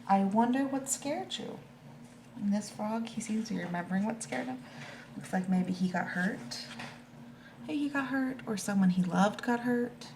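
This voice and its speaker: A woman's voice: mid-range voice, normal speed. A woman reading a picture book to children and explaining it informally in simple, child-directed words. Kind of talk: read-aloud with informal explanations. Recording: echoey room with clear background noise.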